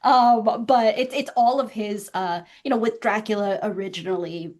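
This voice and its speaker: A woman's voice: medium pitch, fairly even intonation, moderate pace, darkish tone. Thoughtful woman, Vietnamese native speaker. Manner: thoughtful, hedging with fillers. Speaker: guest filmmaker. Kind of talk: casual interview talk.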